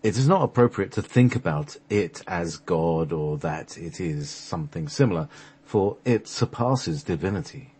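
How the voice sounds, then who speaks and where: Deep husky man reciting solemnly. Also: expressive, moderate pace, a little mellow. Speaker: radio show host reading a scripted play. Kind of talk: solemn, measured recitation of a mystical text.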